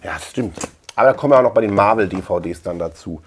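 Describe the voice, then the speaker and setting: A middle-aged man from Germany chats casually to camera, thinking out loud in a colloquial, rambling way. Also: on the low side, fast talker, breathy edge. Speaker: collector and video channel host. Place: small indoor room lined with shelves.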